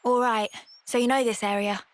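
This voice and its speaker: A woman's voice: fairly high pitch, steady tone, moderate pace, no room sound, natural voice. Cocky young fighter, played by a woman. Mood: wary, casual. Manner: casual, slangy, teasing. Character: cocky, laid-back young female fighter, teasing and frank. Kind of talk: video game cutscene acting. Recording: music behind the voice.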